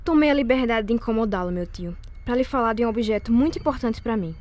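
A woman playing a rich, shrewd young Brazilian lady in an audio drama, measured and commanding. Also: on the high side, a little mellow, lightly acted. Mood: cold, commanding. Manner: cold, measured, imperious. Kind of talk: audio drama acting of a period novel.

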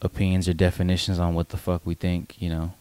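A man in his late twenties with a low-pitched voice talks in a dry-sounding space. He co-hosts an unscripted chat, rambling informally and halting as he finds his words, with casual swearing. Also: completely flat delivery, warm tone, clear, resonant tone, dry recording. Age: late 20s. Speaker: podcast co-host.

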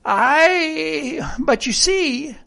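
Woman voicing a high-pitched, half-crazed marooned sailor. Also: expressive, drawn-out words, gravelly, a bit bright, heavily acted. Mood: wheedling, hopeful. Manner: rustic, ungrammatical sailor speech. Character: half-crazed marooned old sailor, sly, childishly eager man. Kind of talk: audiobook character voice.

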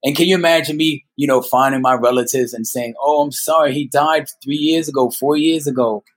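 A man in his fifties telling personal stories as a podcast guest, speaking earnestly and haltingly, repeating words for emphasis. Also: medium pitch, steady tone. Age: 50s. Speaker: guest storyteller. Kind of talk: personal storytelling in a conversational interview.

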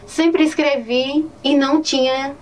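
A woman's voice: high voice, steady tone, slow pace. A woman with a Northeastern Brazilian accent, a teacher answering interview questions earnestly and often adding "né". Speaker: teacher and poet, interview guest. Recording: distant mic with faint ambient noise.

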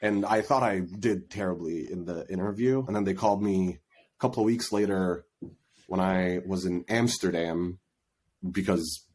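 A man's voice: low voice, fairly even intonation, moderate pace, darkish tone, breathy edge. A man from Egypt telling stories casually as a podcast guest, in informal, slangy speech full of quoted asides. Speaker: podcast guest, product marketer. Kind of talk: casual conversational storytelling.

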